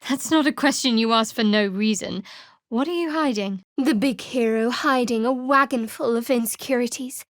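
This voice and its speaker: An actress voices a sardonic young woman, a cleric of a dark goddess in a fantasy video game. Her voice sits a little high, and she speaks in a wry, clipped way that keeps others at a distance. The sound is very dry, free of any room. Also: normal speed, dry recording, lightly acted. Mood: probing, sardonic.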